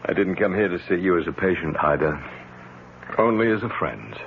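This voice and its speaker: An actor playing a concerned, skeptical physician, speaking in a measured, earnest way in old-time radio drama style. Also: male voice, low voice, animated, gravelly. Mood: earnest, cautious. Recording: dull lo-fi radio recording with hiss.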